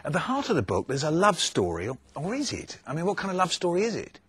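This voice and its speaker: A man's voice: a little high, huge pitch swings, fast, a bit bright, breathy edge, no room sound. A man hosting a book discussion, putting probing, rhetorical questions to the panel. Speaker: host of a book discussion programme.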